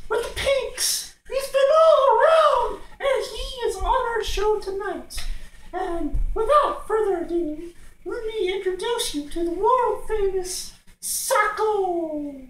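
An excitable, easily flustered sock puppet talk-show host with halting, hammy, exclaiming comedic patter. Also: very high-pitched. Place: living room, puppets behind a couch. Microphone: distant camera microphone. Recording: echoey room, far from the mic.

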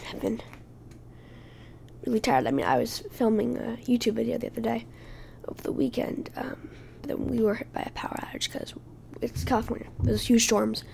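Hoarse, whispery woman podcast host. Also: on the high side, a little mellow. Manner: halting, breathy, often drops to a whisper. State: hoarse, lost voice. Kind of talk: casual solo podcast talk.

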